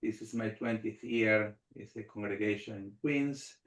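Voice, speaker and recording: A middle-aged man from Argentina whose first language is Spanish, speaking as a rabbi on a panel in a measured way, repeating phrases in lists. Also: lowish voice, roomy sound. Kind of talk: panel talk.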